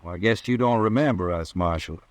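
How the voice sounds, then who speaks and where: A man acts out a shifty ranch hand in a radio Western. He talks a lot in a lowish voice, with folksy rural grammar and a bossy streak. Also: a little fast, natural voice. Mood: folksy, ingratiating. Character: shifty, talkative cowboy ranch hand, domineering. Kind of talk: old-time radio Western acting.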